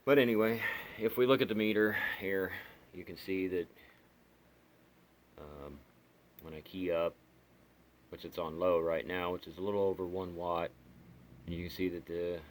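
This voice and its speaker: Low-voiced man casually demonstrating gear, noisy recording. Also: normal speed, long pauses. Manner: plainspoken, muttering to himself while working. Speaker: hobbyist radio reviewer. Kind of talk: casual unscripted product demonstration. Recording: steady background noise, amateur home video.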